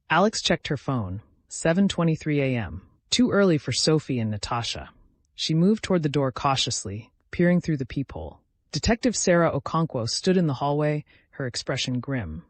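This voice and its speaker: A man's voice: medium pitch, very animated, moderate pace, no room sound. A man narrating a scripted thriller audiobook in a dramatic storytelling style.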